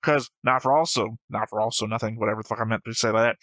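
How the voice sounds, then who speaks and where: Bright-voiced man arguing casually, dry sound. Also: on the low side, steady tone, fast talker, dry recording. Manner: argumentative, frequently swearing. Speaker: reaction show host. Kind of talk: casual discussion, reacting to an animated series.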